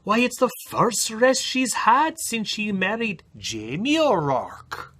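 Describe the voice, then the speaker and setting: A man's voice: high-pitched, sing-song, slow, fairly bright tone, pitched above the performer's own voice, heavily acted. A man voicing gossiping Irish village women, in a put-on Irish accent.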